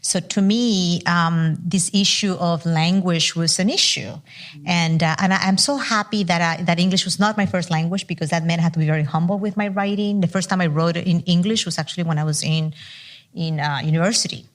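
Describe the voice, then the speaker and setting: Woman scholar, English speaker, frank, fillers and restarts, low-leaning pitch, live panel. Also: steady tone, a little fast. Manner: candid, many restarts and fillers. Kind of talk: roundtable discussion. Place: stage before a live audience.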